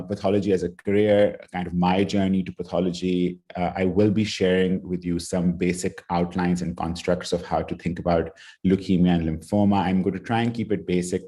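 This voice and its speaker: A man from Pakistan, a pathologist, giving a relaxed online talk over a video call, with many "you know" and "kind of" fillers. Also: deep voice. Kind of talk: online lecture with slides.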